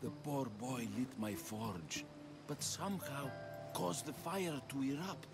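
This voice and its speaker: An actor playing a gentle, mournful village blacksmith in a video game, speaking softly and haltingly. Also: male voice, medium pitch, animated, over loud music. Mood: mournful, weary. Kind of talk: video game cutscene acting.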